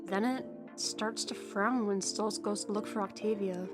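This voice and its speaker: A young woman delivers scripted recap commentary, sounding chatty and inquisitive. Her voice is mid-pitched, with music clearly playing behind it. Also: fairly even intonation, moderate pace. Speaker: co-host.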